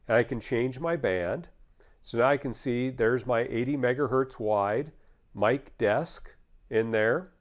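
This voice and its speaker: An American man in his fifties working as a technical trainer, explaining a software demonstration step by step in a conversational way. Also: medium pitch.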